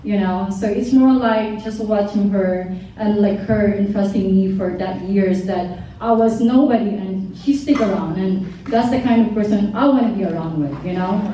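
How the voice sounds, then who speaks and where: A Filipino woman from the Philippines gives a rambling, grateful speech full of fillers. Her voice is mellow and sits at a middle pitch. She is picked up from a distance in a very echoey room with faint noise behind her. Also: normal speed. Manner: rambling, many fillers, stumbling English. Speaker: modeling agency owner giving a speech. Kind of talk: heartfelt speech at a party table.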